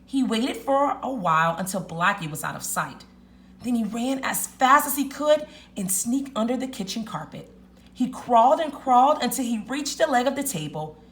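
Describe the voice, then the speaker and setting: A woman teacher reading a children's story aloud in an expressive, deliberate, emphatic storytelling manner. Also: a little high.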